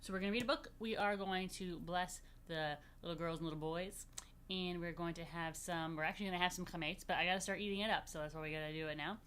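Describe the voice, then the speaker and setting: A woman's voice: on the low side, fairly even intonation, quick pace, fairly bright tone, a little hiss or hum. A woman rabbi leading a children's story time, reading aloud and adding playful, simply worded asides with plenty of repetition. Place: virtual story time recorded in a room.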